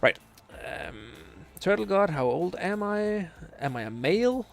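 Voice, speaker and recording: Man, late twenties, Danish accent, gravelly, casual stream chat, hesitant fillers. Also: sits high, sing-song, a little slow. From Denmark. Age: 20s. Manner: hesitant, many filler uhs, searching for English words. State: tired late at night. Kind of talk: casual live stream chat, reading chat questions.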